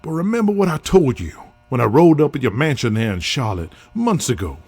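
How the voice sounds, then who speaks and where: A man's voice: mid-range voice, sing-song, a little fast, a little mellow, husky. A man playing a brash, persuasive wrestling booker with big plans in an audio drama, talking in a folksy, salty way. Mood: rallying. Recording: music bed under the voice.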